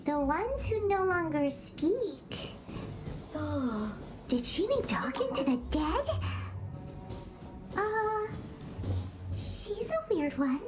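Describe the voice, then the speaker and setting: A woman voicing a tiny, childlike female fairy companion in an anime game, squeaky, blunt and easily flustered. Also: sing-song, extremely slow, long pauses, full character voice. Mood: puzzled. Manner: squeaky, exclamatory, hesitant. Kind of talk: anime video game voice acting. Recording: clear music behind the voice.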